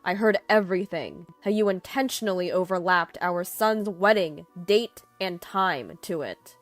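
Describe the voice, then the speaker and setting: A woman voicing a stern, angry older male executive in a story, forceful and commanding. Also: fairly low pitch, lively intonation, normal speed, natural voice. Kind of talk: dramatized storytelling. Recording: music behind the voice.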